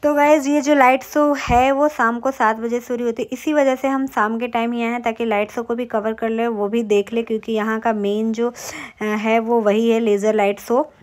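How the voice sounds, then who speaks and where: A woman's voice: a little high, fairly even intonation, moderate pace, few pauses. A young woman narrating her travel vlog in a chatty, informative voice-over, speaking straight to her viewers. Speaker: travel vlogger.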